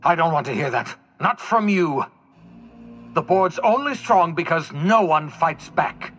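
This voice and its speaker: An actor playing a despairing, defiant older fugitive scientist in a video game, theatrical and swinging from bitter to pleading. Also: male voice, on the high side, lively intonation, measured pace, a bit bright, soft background music. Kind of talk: dramatic video game acting.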